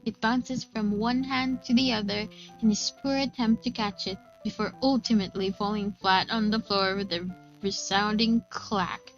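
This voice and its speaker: A woman streamer reading visual novel narration aloud in an expressive storytelling manner. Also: medium pitch, dull audio. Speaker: gaming streamer. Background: faint game music.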